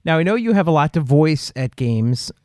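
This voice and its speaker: A man's voice: a little high, sing-song, normal speed, warm tone, no room sound, studio quality. A man hosting a podcast interview, asking short questions and reacting briefly. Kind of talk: casual interview.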